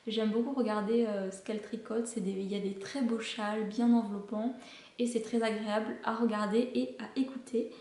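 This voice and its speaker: A woman's voice: on the high side, steady tone, a little fast, mellow, reverberant. A woman hosting a knitting podcast, chatting casually about shows she recommends, with many hesitations. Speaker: knitting podcast host and pattern designer.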